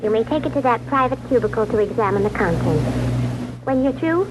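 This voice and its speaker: An actress playing a polite, precise, officious bank clerk in a 1950s radio drama, speaking formally. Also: female voice, on the high side, lively intonation, fairly quick, background noise, close to an everyday voice. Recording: old radio recording, loud music.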